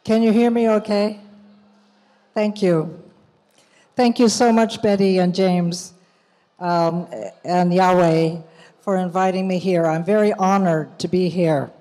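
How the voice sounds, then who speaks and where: A woman from the United States speaks at a commemorative event, unhurried and measured, with the odd filler and restart. Her voice carries a long reverb from the hall around her. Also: fairly low pitch, steady tone, mellow. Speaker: journalist and guest speaker. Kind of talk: prepared public talk.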